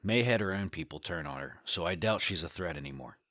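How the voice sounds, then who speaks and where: Man playing a low-voiced, self-assured boss. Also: animated, a little fast, fairly bright tone, no echo, clean studio sound. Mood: dismissive. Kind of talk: audio fiction podcast acting.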